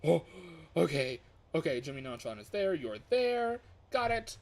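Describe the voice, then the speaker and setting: A man doing casual live gameplay commentary as a streamer, excitable and reactive, often muttering to himself as he plays. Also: a little high, unhurried. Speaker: livestreamer. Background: music.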